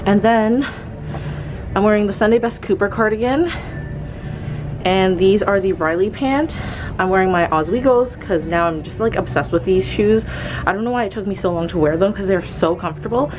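A woman's voice: mid-range voice, moderate pace, music in the background, rough recording. A young woman in her twenties chatting casually through a clothing try-on vlog, rambling with fillers as she films on a handheld camera in a shop. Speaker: fashion vlogger. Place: clothing store, indoors.

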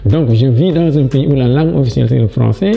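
Gravelly middle-aged Senegalese man. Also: medium pitch, dark tone, dull audio. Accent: Senegalese French. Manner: halting restarts and repeated words, livelier when telling stories. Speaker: education official interviewed as guest. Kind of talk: interview answers, personal anecdotes. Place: office with large window, indoors. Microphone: lapel mic.

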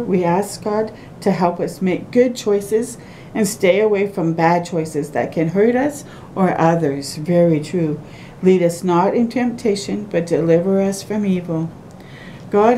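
Mellow-voiced woman reading to small children. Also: low-quality recording. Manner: slow, simple sing-song phrasing addressed to small children. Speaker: children's storytime reader. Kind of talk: read-aloud of a children's picture book with asides. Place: small room at home, by a window. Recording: clear background noise with low rumble.

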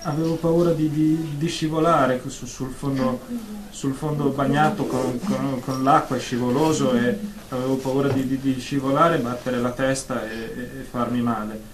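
A man's voice: medium pitch, fairly even intonation, normal speed, crowd noise, close to an everyday voice. Man acting anxious stammering patient, echoey room. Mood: nervous. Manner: stammering, hesitant, repeats words. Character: anxious, timid, fretful male therapy patient. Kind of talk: radio drama acting. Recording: echoey room, faint noise.

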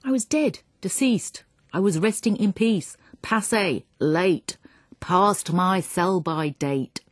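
A woman voicing a sly, wry dead wife, weary of her husband, in an emphatic, breathy poetry reading. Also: on the low side, slow, no room sound, studio quality. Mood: exasperated.

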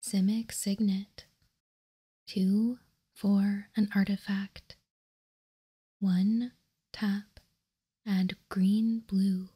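A woman whispering in a breathy voice as she slowly and deliberately presents trading cards, ASMR style. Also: mid-range voice, level tone, mellow. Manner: slow, deliberate, reading card text piece by piece. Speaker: host of a trading card ASMR channel. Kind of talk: ASMR whispered card showcase.

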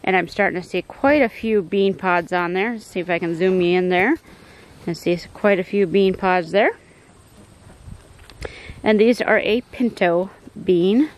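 Relaxed woman touring her garden. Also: mid-range voice, unhurried. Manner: relaxed, explanatory, frequent ums and uhs. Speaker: homestead gardener giving a garden tour. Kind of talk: casual garden tour narration. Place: outdoor backyard garden and greenhouse.

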